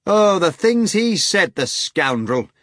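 A man voicing a brash young woman, a maid turned witch, shrill and gleeful. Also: very animated, slow pace, fairly bright tone, no room sound, clean studio sound, exaggerated voice. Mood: indignant.

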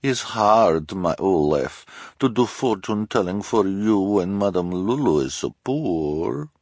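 A man's voice: low voice, lively intonation, measured pace, darkish tone, no room sound, clean studio sound, pitched above the performer's own voice, full character voice. A man voicing a wheedling, theatrical female fortune-teller who speaks broken English with a strange foreign accent in an audiobook. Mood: complaining, pleading. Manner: broken English, keeps adding "please".

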